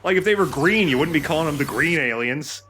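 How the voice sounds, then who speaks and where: A man's voice: on the high side, animated, brisk, bright, forceful tone, slight background noise. A man co-commentating on a video game in a casual, chatty style. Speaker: let's play co-commentator. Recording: game music behind commentary.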